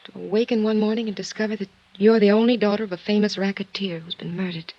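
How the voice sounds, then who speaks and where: A woman playing a poised, quietly intense twenty-one-year-old in 1950s radio drama, with a measured, earnest delivery. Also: medium pitch, fairly even intonation, natural voice. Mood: searching. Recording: dull vintage radio recording.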